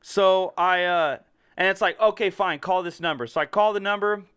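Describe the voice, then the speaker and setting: Bright, high-voiced man telling a story. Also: normal speed, clean tone. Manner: animated storyteller, swears freely, acts out both sides of a dialogue. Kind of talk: casual chat.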